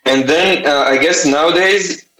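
A man's voice: on the high side, unhurried, pushed and bright, reverberant, low-quality recording. A young man with an Israeli accent, a native Hebrew speaker, answering interview questions about his art with many fillers and restarts. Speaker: artist and t-shirt designer.

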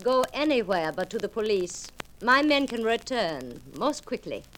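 An actress playing a mysterious, seductive and menacing woman in a radio drama, speaking in formal, measured, foreign-sounding phrases. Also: fairly high pitch, sing-song. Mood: veiled threat. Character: mysterious, seductive, menacing female agent of exalted leader. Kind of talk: 1940s radio drama acting. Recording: noisy old radio recording.

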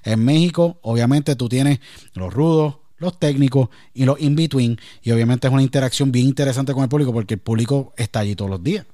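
A man's voice: medium pitch, a little fast, dark tone, dry recording. Emphatic Puerto Rican man, dry close sound. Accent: Puerto Rican Spanish. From Puerto Rico. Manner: talkative, emphatic, repeats "me entiendes". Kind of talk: casual conversation.

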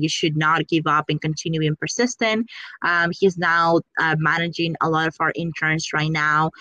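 A woman's voice: low-pitched, a bit bright, dry recording, highs cut off. Young dominican woman, spanish first language, eager interview answers, dry close sound, lots of ums. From Dominican Republic. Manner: enthusiastic, fillers and restarts. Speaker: career coach and founder.

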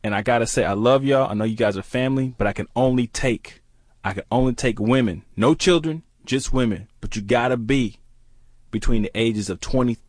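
A man's voice: medium pitch, brisk, a little mellow, no room sound, clean studio sound. Pushy joking man.

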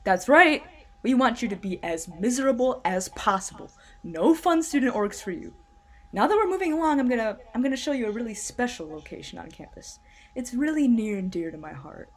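A husky, high-pitched female tour guide played by a woman swoops wildly between notes. She is brash, dismissive and gleefully unhinged, with the theatrical condescension of a comic audio drama. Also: lively intonation, fairly quick. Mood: bossy, dismissive. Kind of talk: comedic audio drama acting.

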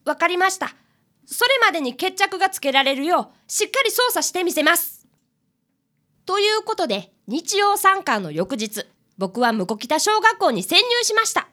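A woman's voice: very high-pitched, sing-song, moderate pace, pushed and bright, full character voice. A woman playing an earnest, polite fifth-grade boy apprentice detective in a radio drama, easily flustered. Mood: determined. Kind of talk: radio drama acting with first-person narration.

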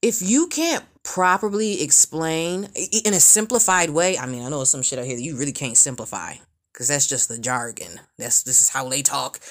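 A woman in her late twenties talking in a casual, rambling monologue, profane and animated, full of fillers. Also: low-pitched. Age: 20s.

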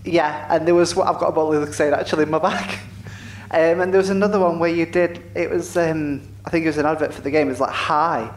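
Fan questioner: mid-pitched, giggly and chatty, echoey venue. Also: fairly even intonation. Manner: chatty, giggly, quick asides. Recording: echoey hall, room noise.

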